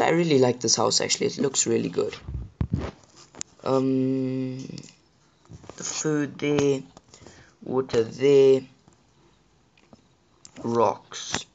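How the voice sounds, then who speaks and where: A man with a sore throat and a slightly rough voice, chatting casually to viewers while he plays a survival game. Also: mid-range voice, a little hiss or hum. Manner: chatty, apologetic, addresses viewers directly. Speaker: gaming channel host. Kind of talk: casual gameplay commentary.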